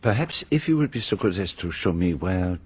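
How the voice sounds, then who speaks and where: An actor with a French-speaking Belgian accent playing a courteous, formal detective who probes deliberately in a radio mystery. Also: male voice, low-pitched, expressive, breathy edge. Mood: courteous, probing. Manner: formal, deliberate, politely insistent. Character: courteous, probing middle-aged Belgian detective. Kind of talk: radio mystery drama acting. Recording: dull, muffled radio drama recording.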